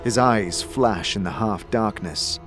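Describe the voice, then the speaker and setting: A man narrating a fantasy audio drama as a storyteller, in a deliberate, theatrical scripted style. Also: lowish voice. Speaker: narrator and game master. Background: background music.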